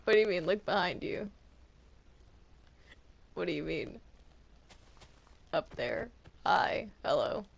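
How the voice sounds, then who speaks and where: Gravelly, hesitant gamer with lively pitch. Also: takes it slowly, long pauses. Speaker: video game player in a group roleplay. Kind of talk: casual in-game chat.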